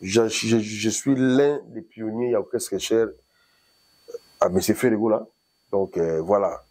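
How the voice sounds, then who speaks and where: A man from Congo talks as the guest in a relaxed interview. His voice is husky and warm. He rambles loosely and mixes French with Lingala as he goes. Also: fairly low pitch, unhurried. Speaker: guest musician. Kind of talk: casual interview chat. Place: in Paris.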